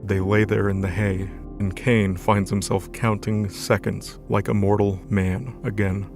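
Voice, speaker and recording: A man narrates an audio drama in a low, gravelly voice. His scripted storytelling stays fairly even in pitch, with music playing clearly underneath. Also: measured pace.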